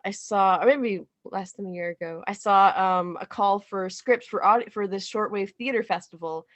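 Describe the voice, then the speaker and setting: A woman giving a casual interview as a playwright, speaking haltingly and thinking aloud. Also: mid-range voice, dry recording. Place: remote video call.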